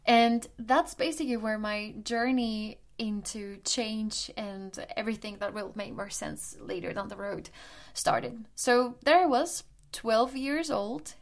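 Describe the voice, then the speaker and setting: Colombian-born woman telling her life story. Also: mid-range voice. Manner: chatty, frequent restarts and fillers, laughs while telling. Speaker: podcast host. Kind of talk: personal storytelling monologue.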